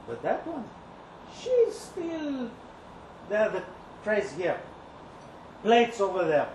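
A man's voice: high voice, wildly swooping pitch, slow, full character voice. A man reading a dramatic monologue live, playing a cocky, lovesick, resentful kitchen worker in a colloquial, confiding, rambling way. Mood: wistful, puzzled, then wistful. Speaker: story reader. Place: indoor live reading event. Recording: roomy, distant live-event recording, faint noise.

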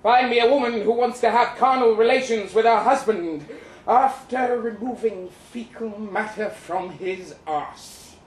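Man acting a slow, wry crippled soldier. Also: sits high. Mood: bitter, self-mocking. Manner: theatrical, wry, florid. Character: bitter, witty crippled young Russian ex-soldier writing letters. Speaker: performer reading a short story aloud. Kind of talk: dramatic live literary reading in character. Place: live event venue in London, indoors. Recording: roomy, distant live recording with noise.

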